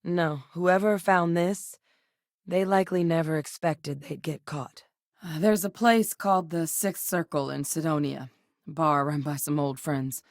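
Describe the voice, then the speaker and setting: An actress playing a composed, earnest woman scientist with a military past in a video game, speaking in a measured, serious, articulate way. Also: deep voice, steady tone, no room sound, studio quality. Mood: earnest, focused. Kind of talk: scripted game dialogue, naturalistic acting.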